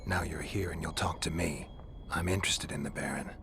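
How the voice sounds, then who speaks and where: Very deep, gruff monster hunter. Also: male voice, brisk, slight background noise, full character voice. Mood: guarded, firm. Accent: American. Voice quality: low gravelly growl, breathy at phrase ends. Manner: deadpan, terse, dryly sardonic. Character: gruff, stoic male monster hunter for hire, dry and blunt. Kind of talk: video game voice acting.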